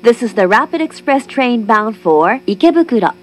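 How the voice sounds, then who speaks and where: A woman reading formal, clearly phrased scripted train announcements, recorded from a carriage speaker. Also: a little high, expressive, slow. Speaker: automated English train announcer.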